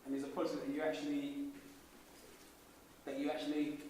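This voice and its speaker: A man's voice: on the high side, completely flat delivery, fairly quick, darkish tone, slight background noise. A man giving an informal talk to young people, explaining things in casual street slang. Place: large hall. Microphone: distant recorder. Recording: echoey hall from far off.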